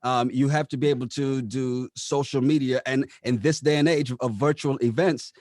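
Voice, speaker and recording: A man's voice: medium pitch, steady tone, normal speed, darkish tone, no echo. A man answering interview questions conversationally, explaining things and often posing rhetorical questions as he goes. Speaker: radio operations manager being interviewed.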